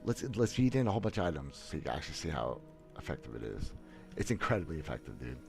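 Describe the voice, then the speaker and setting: A man's voice: extremely low pitch, big pitch swings, fast, gravelly, over music. A man in his thirties streaming, talking bluntly and boastfully with many restarts and stammers, seated in a small room on a headset mic. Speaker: gaming streamer. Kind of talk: casual livestream commentary. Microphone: headset, webcam framing.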